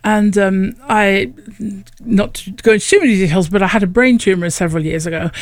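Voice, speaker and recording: A woman's voice: mid-range voice, a little fast, dry recording. Northern English woman, dry studio sound. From England, Lincoln. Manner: chatty, laughs often, imitates accents. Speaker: opera singer, guest. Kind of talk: relaxed radio interview chat. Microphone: studio microphone.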